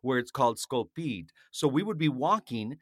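A man's voice: medium pitch, sing-song, normal speed, dry recording, studio quality. A man co-hosting a casual food chat, rambling happily through stories. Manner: chatty, rambling storyteller. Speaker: podcast co-host.

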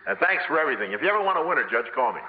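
A man acting a fast-talking small-time con man with joking patter in a 1950s radio comedy, on a muffled vintage broadcast. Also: medium pitch, big pitch swings, lightly acted. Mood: sweet-talking.

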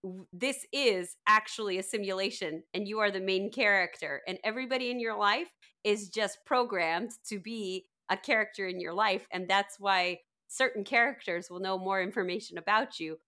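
Woman, middle-aged, crisp bright tone, medium pitch, podcast co-host, casual banter, dry self-deprecating humor. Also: moderate pace, few pauses. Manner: wry, joking, self-deprecating, swears casually. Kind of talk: casual group chat.

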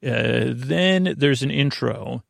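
A man hosting a sleep podcast in a meandering, halting monologue, often losing his thread. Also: mid-range voice, creaky, no echo, studio quality. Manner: meandering, halting, loses his train of thought. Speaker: podcast host.

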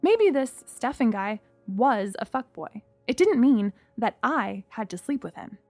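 A woman's voice: on the high side, big pitch swings, unhurried, darkish tone, dry recording, soft background music. A young woman from Singapore narrating her own story in a wry, candid, first-person storytelling way.

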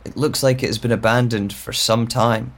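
A man's voice: mid-range voice, fairly even intonation, normal speed, close to an everyday voice. An actor playing a bewildered, lonely man with lost memory in a hesitant, introspective audio drama monologue. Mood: pensive, uneasy. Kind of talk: slow first-person audio drama monologue.

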